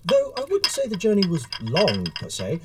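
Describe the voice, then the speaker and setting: A man playing an earnest, wordy gentleman time traveler in an audio drama, with formal period speech and a rambling manner. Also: high-pitched, wildly swooping pitch, normal speed, husky, exaggerated voice. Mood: eager, chatty. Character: eager, flustered gentleman author and time traveler.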